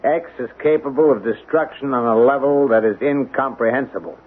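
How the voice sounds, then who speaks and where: A male actor playing an earnest, protective middle-aged colonel in a 1970s radio drama, speaking in a measured, emphatic way with pointed rhetorical questions. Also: medium pitch, lightly acted. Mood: firm, challenging. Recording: tinny, band-limited 1970s radio recording.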